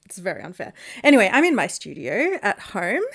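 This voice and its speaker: A woman's voice: mid-range voice, sing-song, a little fast, creaky, fairly bright tone, slightly rough. Giggly upbeat woman podcaster. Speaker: podcast co-host. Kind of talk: casual podcast chat. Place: home studio. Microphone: home podcast mic over video call.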